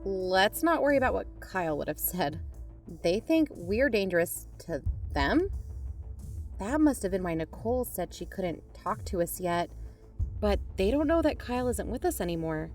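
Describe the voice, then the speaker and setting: A woman's voice: mid-range voice, big pitch swings, moderate pace, darkish tone. Matter-of-fact woman investigator. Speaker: podcast investigator. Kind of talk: scripted audio drama dialogue. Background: music.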